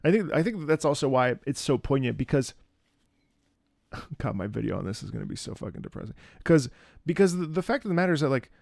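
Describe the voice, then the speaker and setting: A man giving casual, candid reaction commentary as a host, thinking aloud in a halting, reflective way. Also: medium pitch, sing-song, vocal fry, darkish tone, dry recording, clean studio sound. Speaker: host, opera singer reacting to a game.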